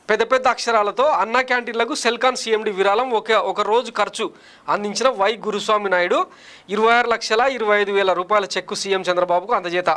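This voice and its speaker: A man in his thirties delivers scripted political commentary from a studio, his voice pitched high above most men. He speaks quickly with a sarcastic, combative edge, piling up rhetorical questions. The sound is close and clean from a lapel mic. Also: pushed and bright. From Andhra Pradesh, India. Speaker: political news presenter. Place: studio in front of a large screen.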